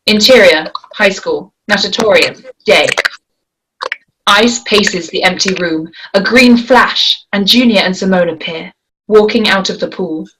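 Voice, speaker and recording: A woman reads a screenplay's stage directions aloud at a table read. Her delivery is measured and descriptive, at an ordinary middle pitch. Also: fairly bright tone, slight background noise, rough recording. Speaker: narrator reading stage directions.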